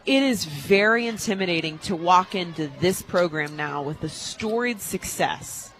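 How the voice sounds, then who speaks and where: An American woman in her twenties giving conversational, explanatory color commentary on a live radio softball broadcast from a stadium booth. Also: on the low side, lively intonation, unhurried, a little hiss or hum. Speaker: color analyst, former college player.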